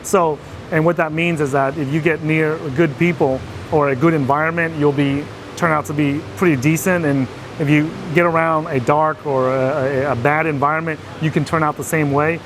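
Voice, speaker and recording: Man from Vietnam, halting interview storytelling. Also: on the high side, steady tone, noisy background. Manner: hesitant, many fillers and restarts. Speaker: interview guest.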